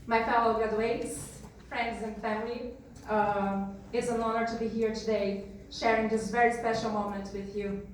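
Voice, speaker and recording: A woman from Brazil, a native Portuguese speaker with a Brazilian accent, giving a measured graduation speech. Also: on the high side, level tone, a little hiss or hum. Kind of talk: prepared commencement speech. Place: large hall. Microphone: PA heard from back of room. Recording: echoey hall from far off.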